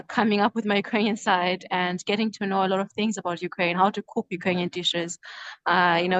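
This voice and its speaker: A woman's voice: medium pitch, dry recording, poor audio. A young woman from Zimbabwe, a medical student, answering interview questions with many hesitant fillers. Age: young adult.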